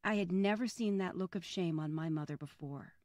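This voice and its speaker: A woman's voice: on the low side, brisk, darkish tone. An older woman from Philadelphia with an American accent, reading a scripted personal narrative as a storyteller. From Philadelphia, United States.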